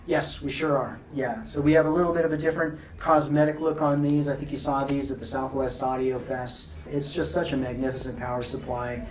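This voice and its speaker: A man being interviewed at a trade show, explaining technical details in a measured, informal way. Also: medium pitch, flat delivery, far from the mic. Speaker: loudspeaker company founder being interviewed. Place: exhibit room at an audio show. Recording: off-mic, noisy exhibit room.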